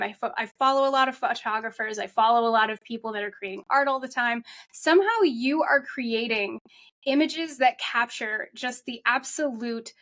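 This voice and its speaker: Female podcast host, higher-pitched voice, effusive and enthusiastic conversational delivery. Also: a bit bright. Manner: gushing, effusive. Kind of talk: enthusiastic interview chat.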